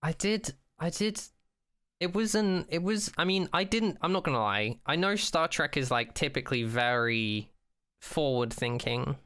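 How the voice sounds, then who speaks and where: A man co-hosting a podcast, chatting casually and opinionatedly, acting out mock quotes in an animated way and swearing freely. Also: on the high side, unhurried. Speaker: podcast co-host. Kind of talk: casual chat, opinionated rant about a TV show.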